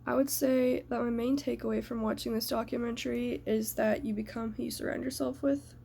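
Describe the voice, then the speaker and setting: A young woman from the United States giving a prepared personal reflection in a measured, earnest way. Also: a little high, fairly even intonation, vocal fry, warm tone, slight background noise.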